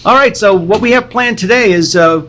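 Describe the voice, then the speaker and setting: A man hosting a podcast, casually introducing a live reading in a halting, hesitant way. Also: high-pitched, crowd noise. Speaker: podcast host. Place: comic convention.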